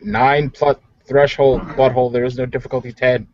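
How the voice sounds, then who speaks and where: A man's voice: medium pitch, level tone, moderate pace, no room sound. A man playing a tabletop game, bantering casually in a teasing, wisecracking way. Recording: muffled lo-fi recording.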